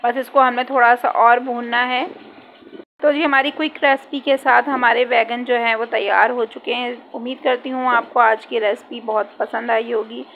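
A woman talks viewers through a home recipe in her kitchen, explaining each step in a loose, chatty way. Her voice sits a touch high with a gravelly edge. The sound is dull and lo-fi with a faint hiss behind it, as if picked up on a phone over the stove. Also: flat delivery, normal speed. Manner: chatty step-by-step explaining with frequent filler phrases. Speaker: cooking channel host. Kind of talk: home cooking tutorial narration.

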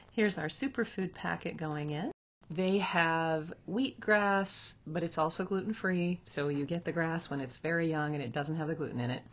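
A woman in her thirties hosting a casual kitchen demonstration, chatty and upbeat, filmed in a home kitchen with a camera on the counter. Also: on the low side. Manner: chatty, upbeat, explaining as she goes. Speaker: host. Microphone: camera at counter distance.

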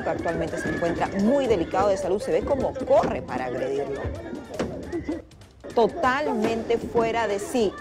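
Peruvian woman anchor, emphatic dramatic read, loud music and crowd bed. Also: mid-range voice. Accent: Peruvian Spanish. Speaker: news anchor. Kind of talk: scripted news reading. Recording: music and noise under studio voice.

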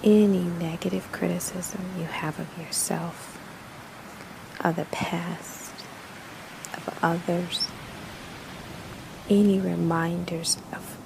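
A woman narrating a guided meditation in a soothing, hushed manner, with breathy phrase endings and long pauses between short phrases. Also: on the low side, noisy background.

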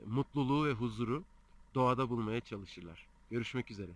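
A man's voice: mid-range voice, a little fast. A man in his thirties talking casually to his phone camera on a mountainside, with many hesitation sounds. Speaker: fishing vlogger. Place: outdoors on a mountainside above the clouds. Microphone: phone held at arm's length. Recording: loud outdoor noise with birdsong.

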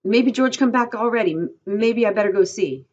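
Woman acting agitated, childlike ranch hand, husky voice. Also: mid-range voice, lively intonation, normal speed, dull audio, pitched above the performer's own voice. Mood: frightened. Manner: rustic dialect, insistent and pleading. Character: childlike, trusting, easily agitated ranch worker man.

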